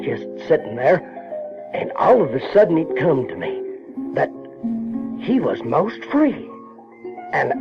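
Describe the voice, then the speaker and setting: Animated folksy Southern boy narrator, Huckleberry Finn. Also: high-pitched, exaggerated voice. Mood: troubled, guilty. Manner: halting, repeats words, rustic grammar. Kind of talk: folksy first-person audio drama narration. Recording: dull vintage radio-drama recording, music behind.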